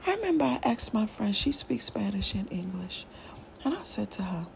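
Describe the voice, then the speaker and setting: A woman's voice: medium pitch, very animated, moderate pace, vocal fry, a little hiss or hum. A woman hosting a casual solo podcast, talking in a loose, musing way with slang and thinking-aloud restarts. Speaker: podcast host.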